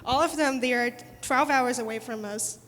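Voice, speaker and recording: A woman's voice: fairly high pitch, moderate pace, fairly bright tone, slight background noise. Emphatic woman panelist in a reverberant hall. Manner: emphatic, repeats words when pressing a point. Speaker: panelist attorney. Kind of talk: panel discussion. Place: large conference hall.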